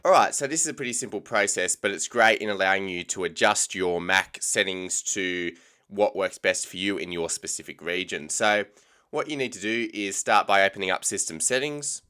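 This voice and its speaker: A young Australian man with an Australian accent and a low voice that sounds bright and crisp. He talks through a screen tutorial at an easy, casual pace, dropping frequent ums. The sound is close and clean, as from a desk mic. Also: moderate pace, faint music behind. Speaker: tech tutorial host. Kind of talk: screen-recorded software tutorial.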